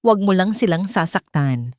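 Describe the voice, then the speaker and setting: A woman's voice: lowish voice, lively intonation, normal speed, a little mellow, dry recording, studio quality, lightly acted. A woman voicing an anguished wealthy father in an audio story, speaking urgently. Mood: pleading. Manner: urgent, trembling commands. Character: anguished wealthy father pleading for his loved ones.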